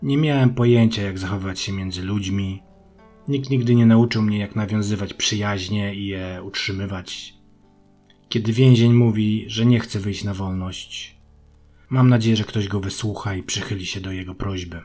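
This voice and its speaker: A man voicing a remorseful middle-aged prisoner in a measured, confessional reading of his diary. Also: fairly low pitch, steady tone, darkish tone, natural voice. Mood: somber confession. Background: guitar music.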